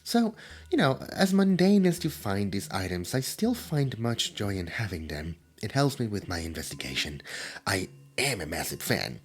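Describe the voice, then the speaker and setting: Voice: low voice, sing-song, moderate pace. A performer voicing a nerdy, easily flustered immortal demon in an ASMR roleplay, with a stammering, theatrical delivery. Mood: wistful, soft. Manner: stammering, theatrical, swinging from soft musing to panicked outbursts. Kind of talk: roleplay ASMR character acting.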